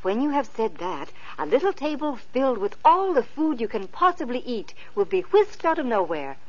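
A woman's voice: a little high, very animated, moderate pace. A tender fairy godmother. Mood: soothing. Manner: soothing, tender. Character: gentle kindly fairy godmother, female. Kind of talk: 1950s radio acting. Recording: dull lo-fi old radio recording.

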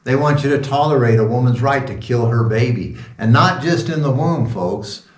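A man's voice: medium pitch, normal speed. A man in his sixties, a pastor, giving an informal, rambling sermon talk with sarcastic asides. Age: 60s. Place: small wood-paneled room indoors. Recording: roomy echo, distant from the mic.

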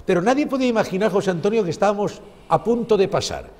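A man's voice: high-pitched, expressive, fast talker, a little mellow, long reverb tail. A man in his sixties with an accent from Spain, presenting in conversation and making his points emphatically, one after another. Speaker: television presenter. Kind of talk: studio conversation with a reporter. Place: indoor TV studio.

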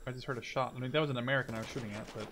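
A man's voice: medium pitch, steady tone, rapid-fire, a bit bright, a little hiss or hum. A man giving casual, rambling gameplay commentary, informal and given to mild swearing. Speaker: gamer and video host.

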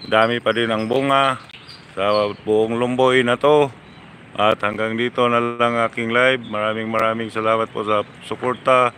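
A man's voice: medium pitch, steady tone, sharp tone, slight background noise. A man live-streaming from outdoors on a phone, casually chatting and thanking his viewers over and over. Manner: slow, chatty, repeats thanks. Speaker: live-streaming motorcycle vlogger. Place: outdoors, city streets by motorcycle, then a yard.

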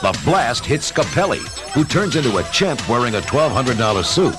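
A man narrating an adventure story in a measured, dramatic scripted style. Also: mid-range voice, very animated. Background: dramatic music.

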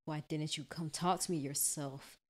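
A woman's voice: deep voice, steady tone, normal speed, a little hiss or hum, close to an everyday voice. A woman voicing an obsessive, jealous young man turned murderous stalker in a visual novel, pleading and accusing. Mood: hurt, furious.

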